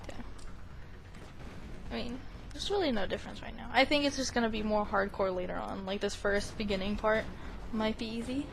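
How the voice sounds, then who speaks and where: A woman's voice: mid-range voice, brisk, creaky, long pauses, noisy background. A woman doing casual, rambling gameplay commentary in a playful, giggly way as the host of a gaming video. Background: game sound.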